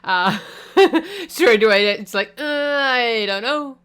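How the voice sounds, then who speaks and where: A woman's voice: high voice, animated, slow pace, a bit bright, smooth. A woman hosting a reaction video, making hesitant, musing comments.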